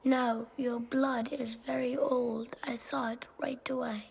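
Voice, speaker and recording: An actor voicing a curious, quiet young boy in a video game cutscene, speaking softly and in a near whisper. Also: very high voice, little pitch movement, slow pace, vocal fry, husky, heavily acted. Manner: soft, whispery and hushed.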